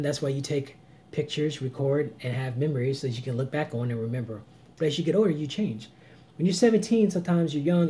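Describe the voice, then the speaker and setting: A man in his late thirties giving earnest, preachy relationship advice on a live vlog, swinging between loud emphasis and low murmurs. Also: slight background noise. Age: 30s. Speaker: vlogger. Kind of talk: live-stream advice chat.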